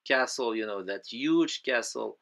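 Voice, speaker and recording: Male vlogger, French accent, bright crisp tone, halting voice-over narration. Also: medium pitch. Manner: halting, many fillers and restarts. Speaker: toy collector vlogger. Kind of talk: voice-over narration of a museum visit. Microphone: voice-over, close mic.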